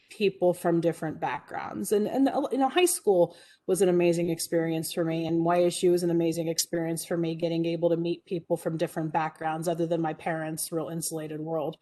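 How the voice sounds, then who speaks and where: Woman in her 40s telling her story, kinda low warm voice, lots of um and restarts. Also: steady tone, brisk. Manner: earnest, reflective, with frequent fillers and restarts. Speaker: guest speaker. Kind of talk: personal storytelling talk.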